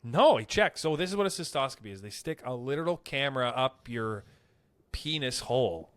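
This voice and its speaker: A man's voice: medium pitch, animated, brisk, a bit bright. A young man co-hosting a podcast, rambling through crude stories in casual banter and acting out quoted voices. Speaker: podcast co-host.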